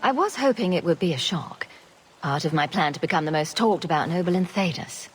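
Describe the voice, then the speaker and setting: A woman's voice: fairly low pitch, expressive, a little fast, faint music behind, lightly acted. A woman voicing a sardonic, confident noble adventurer in a video game, with a dry, wry delivery. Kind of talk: video game cutscene acting.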